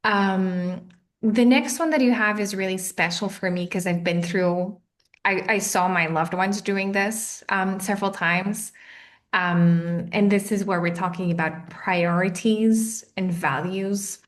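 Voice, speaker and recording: A woman with a Portuguese accent hosting an informal online session as interviewer, speaking hesitantly with pauses. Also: mid-range voice, steady tone. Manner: hesitant, pausing. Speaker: host, interviewer.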